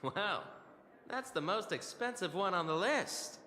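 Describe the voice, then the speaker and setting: An actor playing a polite, polished bartender in a video game, theatrical and unhurried. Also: male voice, high-pitched, sing-song, sharp tone, slight background noise, heavily acted. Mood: courteous. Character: courteous, polished male bartender serving guests. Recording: echoing reverb, game music behind.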